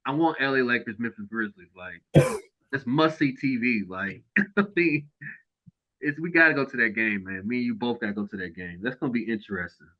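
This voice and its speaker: A man hosting a podcast, chatting casually about basketball in a lively way, laughing often and dropping into low mutters. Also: a little fast. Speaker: podcast host. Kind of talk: casual sports chat. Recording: dull lo-fi sound.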